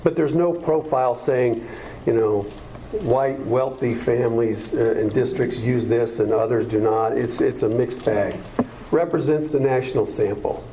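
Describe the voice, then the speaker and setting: A man's voice: mid-range voice, unhurried, mellow, a little hiss or hum, poor audio. A man presenting district data to a school board, explaining at length with frequent fillers and restarts.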